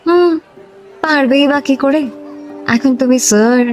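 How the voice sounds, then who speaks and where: A woman's voice: sits high, a little slow, darkish tone, faint music behind. A woman playing a playful, coy young woman in a romantic audio drama. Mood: playful teasing. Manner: teasing, coy. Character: playful, teasing young former schoolgirl, now working woman.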